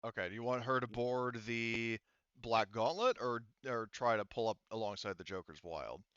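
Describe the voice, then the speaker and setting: A man's voice: medium pitch, normal speed, fairly bright tone, smooth, no room sound, highs cut off. A man running a tabletop game as game master, talking casually and explaining the rules, swearing now and then.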